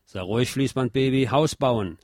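A man's voice: medium pitch, moderate pace, darkish tone, no echo, close to an everyday voice. Guy quoting a bossy authoritarian type from a song, short stern orders, really dry sound. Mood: curt commanding. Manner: curt, commanding. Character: stern authoritarian conformist giving curt orders. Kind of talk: quoted song lines within narration.

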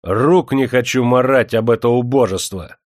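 Guy doing proud gruff mill boss in an audiobook, stern and resentful, fairly low voice. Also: lively intonation, measured pace, dry recording, clean studio sound. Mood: angry. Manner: stern, forceful. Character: proud, gruff, resentful self-made factory owner.

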